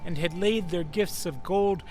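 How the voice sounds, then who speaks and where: A man narrating a scripted story in a measured way, with careful pauses between phrases. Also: high-pitched, darkish tone, poor audio.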